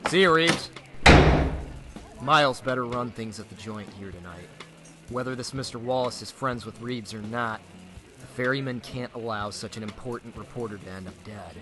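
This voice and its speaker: An actor playing a wry, knowing speakeasy proprietor in an old time radio drama. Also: male voice, medium pitch, moderate pace, over music, natural voice.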